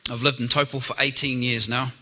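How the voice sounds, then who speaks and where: Bright middle-aged New Zealand man introducing himself. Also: mid-range voice, level tone, brisk. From Auckland, New Zealand. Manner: plain-spoken, clears throat often. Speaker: council candidate. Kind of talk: public campaign introduction.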